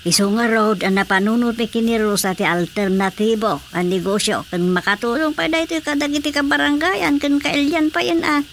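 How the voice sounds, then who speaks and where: A woman's voice: fairly high pitch, normal speed, few pauses, close to an everyday voice. A woman acting a helpful, sensible villager in an Ilocano radio drama, with an Ilocano accent. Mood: helpful, explaining. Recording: steady background noise.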